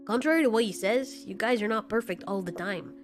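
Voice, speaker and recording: A woman's voice: mid-range voice, a little fast, faint music behind, lightly acted. A woman playing a laid-back, sarcastic male flying kaiju with a casual, wry way of talking, in an audio story. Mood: unbothered.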